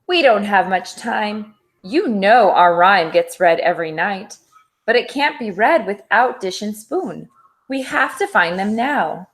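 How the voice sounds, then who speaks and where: A woman's voice: mid-range voice, animated, unhurried. Woman as a dramatic, swooping, bossy storybook cat. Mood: demanding. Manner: insistent, dramatic, urgent.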